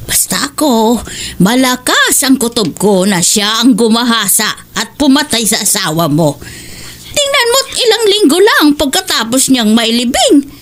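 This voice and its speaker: A woman's voice: fairly high pitch, sing-song, unhurried, slight background noise. A woman playing a superstitious, vengeful older Filipino mother in a horror audio drama, speaking in a dramatic, insistent way. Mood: stubborn conviction.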